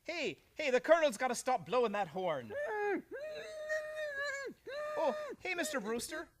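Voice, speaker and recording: A man's voice: very high-pitched, big pitch swings, measured pace, slight background noise, heavily acted. An actor playing an eager, dim policeman who fancies himself a playwright, gushing colloquially in radio comedy style. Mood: eager, chatty. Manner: gushing, colloquial tough-cop diction. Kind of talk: live old-time radio comedy acting.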